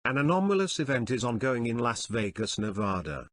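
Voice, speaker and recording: A man's voice: mid-range voice, big pitch swings, a little fast, fairly bright tone. A man reading a scripted official alert as a command announcer, in a formal, impersonal, clipped way. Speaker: command announcer issuing a special warning.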